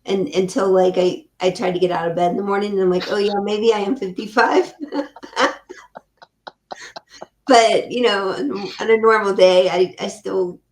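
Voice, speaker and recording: A woman's voice: medium pitch, normal speed, darkish tone, echoey room. A woman in her fifties hosting a podcast, speaking earnestly and supportively to her guest. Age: 50s. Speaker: podcast host. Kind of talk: podcast interview.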